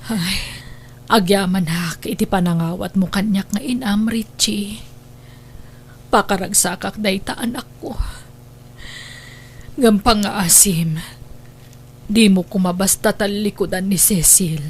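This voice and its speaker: A woman with an Ilocano accent acting a gentle, devoted, sorrowful mother in a radio drama, with emotive delivery. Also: mid-range voice, steady tone, measured pace, pauses often, slight background noise, close to an everyday voice. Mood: gentle pleading.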